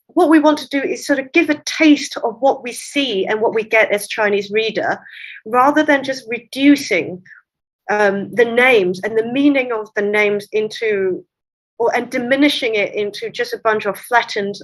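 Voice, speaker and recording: A woman translator whose first language is Cantonese, explaining her work at length in a relaxed interview, with light laughs. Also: a little high, a little slow, rough recording. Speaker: literary translator, guest. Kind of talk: conversational interview.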